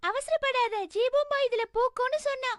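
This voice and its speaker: A woman voicing an eager, impatient little schoolgirl in a children's cartoon, with an excitable, pleading manner. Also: extremely high pitch, moderate pace, no echo, clean studio sound. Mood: defensive, annoyed. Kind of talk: Tamil-dubbed children's cartoon acting.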